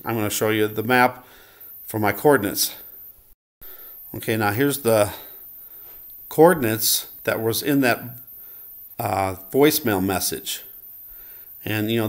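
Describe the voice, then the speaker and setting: Hesitant, rambling man's voice. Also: lowish voice. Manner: hesitant, rambling, self-correcting. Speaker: vlogger. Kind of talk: casual unscripted map commentary.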